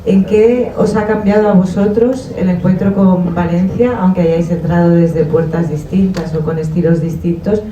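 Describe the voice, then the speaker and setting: A woman in the audience at a conference, asking the panel a measured, reflective question. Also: fairly low pitch, fairly even intonation, crowd noise. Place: conference hall. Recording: dull, echoey hall audio from a distant mic.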